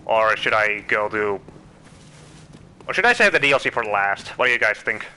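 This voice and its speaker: A man with a Finnish accent giving casual, chatty commentary while streaming a game, thinking out loud and asking his viewers questions. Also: lowish voice, very animated, normal speed, clean tone, rough recording. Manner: chatty, thinks aloud, asks viewers questions, self-correcting. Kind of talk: casual live gameplay commentary.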